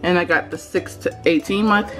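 A woman's voice: fairly low pitch, fairly even intonation, normal speed, faint music behind. Chatty Texan mom vlogging from her kitchen. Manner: chatty, direct, addresses viewers as y'all. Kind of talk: casual vlog chat. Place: home kitchen, indoors. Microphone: handheld camera at arm's length.

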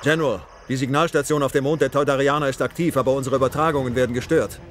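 Mann spielt zackigen, schnellen Soldaten. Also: male voice, mid-range voice, fairly even intonation, quick pace, slight background noise, natural voice. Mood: businesslike. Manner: clipped, military. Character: dutiful, brisk clone soldier officer.

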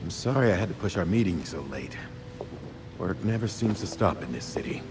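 An actor playing a weary, kindly older colonel in a game cutscene, with plain-spoken delivery. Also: male voice, low-pitched, expressive, brisk, vocal fry, over music. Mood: weary, grieving. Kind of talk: naturalistic video game cutscene acting.

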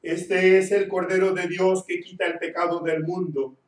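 A middle-aged man, a priest, slowly reciting the prayers of the Mass at the altar of a small church. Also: high-pitched, little pitch movement. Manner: slow, measured recitation. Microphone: handheld mic at times, heard from some distance. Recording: very echoey church, far from mic.

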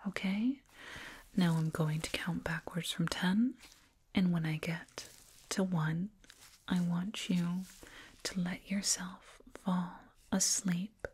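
A woman playing a soothing, proud alien dentist in an ASMR roleplay, whispering gently and repetitively up close. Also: fairly low pitch, steady tone, takes it slowly, full character voice. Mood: soothing, hypnotic. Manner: gentle, repetitive, reassuring murmur. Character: soothing, proud alien dentist with eerie delight in sharp teeth. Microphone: binaural mic, up close. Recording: close binaural mic, clear noise.

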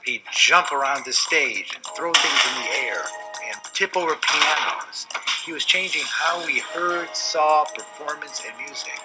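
A man's voice: a little high, low-quality recording. Older male art expert explaining. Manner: measured, explanatory, gestures while speaking. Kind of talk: documentary interview. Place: cluttered indoor studio. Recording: loud music under the interview.

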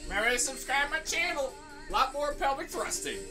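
A man's voice: very high voice, brisk, music in the background. A young man giving casual, joking reaction commentary into a desktop microphone in his bedroom. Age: young adult. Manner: animated, joking. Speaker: reaction video host. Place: small cluttered bedroom. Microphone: desktop condenser microphone.

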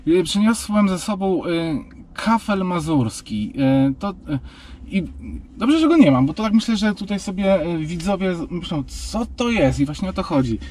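A man in his forties chatting casually as an interview guest, speaking haltingly and often correcting himself. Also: sits high, very animated, recorded from a distance. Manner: hesitant, halting, self-correcting. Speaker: guest, writer and radio producer. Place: inside a car in town. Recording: loud car and street noise.

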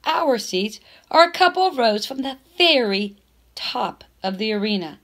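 Female read-aloud narrator, slightly high voice, slow deliberate delivery, expressive emphasis. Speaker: teacher reading a book aloud.

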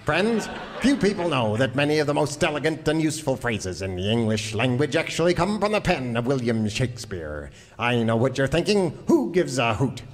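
A man plays a folksy quack doctor selling on comic radio. He is pompous, with an average-pitched voice in long hall reverb. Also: big pitch swings, normal speed, close to an everyday voice. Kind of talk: parody radio commercial.

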